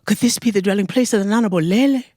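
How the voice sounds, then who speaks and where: A woman storyteller narrating a folktale in a deliberate, expressive way, with dramatic pauses. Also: mid-range voice, no echo, studio quality.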